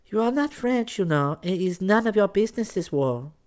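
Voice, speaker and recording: A man voicing a dignified, cool young woman in a story, with a calm and composed manner. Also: sits high, moderate pace, voice pushed up. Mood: dignified reproach. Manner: calm, reproachful.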